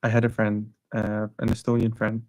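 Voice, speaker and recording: A man's voice: on the low side, monotone, moderate pace, a little mellow, rough recording. Man casually telling travel stories. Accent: neutral international English, worked on. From South Asia. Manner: rambling, many restarts and fillers. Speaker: channel host. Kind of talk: casual live stream storytelling.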